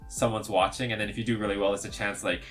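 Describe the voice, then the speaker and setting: Easygoing young man, lowish voice. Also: level tone, quick pace, a bit bright, soft background music. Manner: easygoing, hedging with "like". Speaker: podcast co-host. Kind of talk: casual video-call podcast chat.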